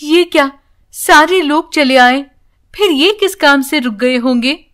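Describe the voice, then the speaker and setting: A woman's voice: high-pitched, unhurried, a bit bright, pitched above the performer's own voice. A woman voicing an anxious, tearful young village wife in a storybook tale, pleading and emotional. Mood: worried.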